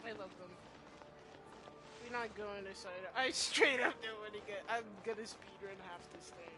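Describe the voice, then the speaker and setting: A woman's voice: mid-range voice, normal speed. A woman giving casual, rambling, fannish commentary as she streams a game playthrough, with plenty of slang. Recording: game music behind.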